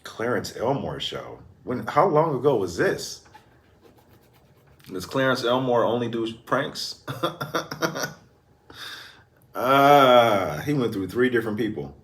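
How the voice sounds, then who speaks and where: A man's voice: mid-range voice, big pitch swings, slow, pauses often, a bit bright. A man giving casual, slangy reaction commentary in an amused, informal way. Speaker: reaction video host.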